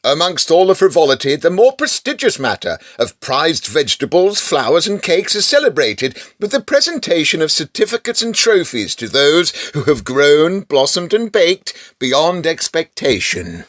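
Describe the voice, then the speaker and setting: Animated English man narrating a comic tale. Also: fairly high pitch, normal speed, few pauses, dry recording, studio quality. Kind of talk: storybook-like scripted comic narration.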